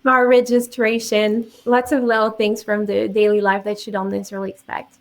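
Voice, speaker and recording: A French Canadian woman, with French as her first language, speaks as a guest on a podcast. She talks in a steady, optimistic, storytelling way. Her voice sits at an ordinary middle pitch. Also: brisk. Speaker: guest, real estate agent. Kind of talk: podcast interview.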